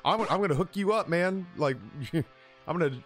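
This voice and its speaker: A man's voice: high voice, moderate pace, a bit bright, faint music behind, voice pushed up. Man putting on a hotline tipster, eager, helpful, slightly raised voice. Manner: helpful, hesitant, upbeat. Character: eager young male game hotline tipster.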